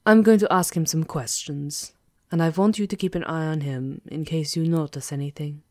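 A woman's voice: low voice, normal speed, a little mellow, no echo, lightly acted. A woman playing a commanding aristocratic vampire countess in an ASMR roleplay, with a theatrical, teasing menace. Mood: composed, tender.

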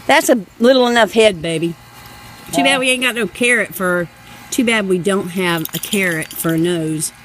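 A woman's voice: mid-range voice, normal speed, fairly bright tone, slight background noise, low-quality recording. A woman, chatting and giggling affectionately as she films a home video outdoors in a snowy yard on a handheld phone camera. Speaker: vlogger filming her husband. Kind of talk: casual home video narration.

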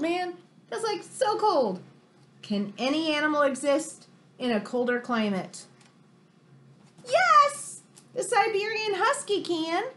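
An American woman in her thirties reading a picture book aloud like a teacher to children, expressive and exclamatory, with chatty questioning asides. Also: high voice. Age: 30s. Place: room in a home, indoors.

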